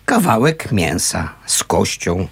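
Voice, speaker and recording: A gruff, kindly man of the streets in a radio drama. His voice is gravelly and swings freely in pitch. He talks in a colloquial, fatherly way and takes his time. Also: sing-song, slow, a bit bright, a little hiss or hum, exaggerated voice. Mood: caring. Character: homeless older man, gruff but kindly caretaker of a runaway child. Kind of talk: naturalistic Polish radio play acting.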